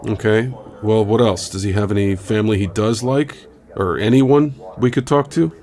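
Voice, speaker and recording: An actor playing a cautious, conciliatory local radio reporter in an audio drama, speaking in a halting, placating way. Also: male voice, lowish voice, a little mellow, close to an everyday voice. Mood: uneasy, resigned. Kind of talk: naturalistic audio drama acting.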